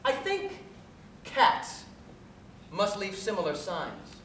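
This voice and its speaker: A man performs a story for a live stage reading, recorded at a distance in a very reverberant space with faint noise beneath. His voice sits a little high, and he tells the story in a deliberate, measured way. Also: huge pitch swings, a bit bright. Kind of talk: live dramatic reading of a first-person story. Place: theatre stage at a live cabaret, recorded from afar.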